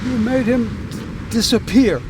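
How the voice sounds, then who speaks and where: A man's voice: extremely high pitch, a little slow, background noise. An actor playing a menacing, jealous wealthy man in an audio drama, with a taunting, theatrical delivery. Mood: agitated, accusing.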